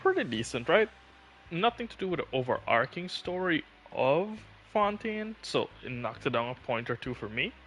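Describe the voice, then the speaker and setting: A man's voice: a little high, very animated, moderate pace, fairly bright tone, a little hiss or hum, rough recording. A man livestreaming a video game, giving casual commentary.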